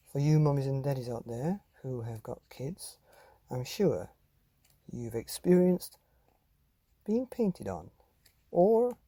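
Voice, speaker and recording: A man presents bedtime stories for children. His voice is mellow and slightly husky, and his delivery is measured, addressing the listener directly. The sound is dry and close. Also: fairly high pitch, no room sound. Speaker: bedtime story podcast host and reader. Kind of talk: scripted children's podcast narration.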